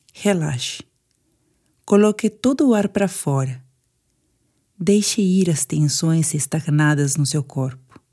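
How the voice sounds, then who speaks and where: A woman's voice: lowish voice, warm tone. A woman with a Brazilian Portuguese accent guides a sleep meditation in a soothing, instructive manner.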